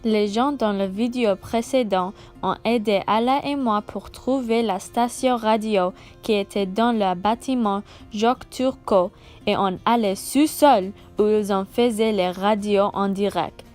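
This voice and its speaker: A woman's voice: mid-range voice, fairly even intonation, measured pace, a little mellow, soft background music. A young woman narrating a school-project video tour in a careful, read-aloud manner. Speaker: student presenting a school project. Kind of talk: scripted voice-over narration.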